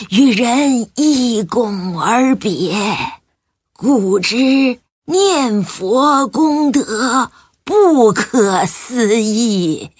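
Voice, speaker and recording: A woman playing a husky, devout old widow. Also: fairly high pitch, no echo, studio quality, exaggerated voice. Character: pious old village widow, earnest and reverent. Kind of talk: audiobook radio drama.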